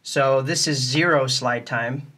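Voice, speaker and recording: A man's voice: medium pitch, slow pace, pushed and bright. A man giving a casual, step-by-step tutorial in a plain, methodical way. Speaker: music tutorial host.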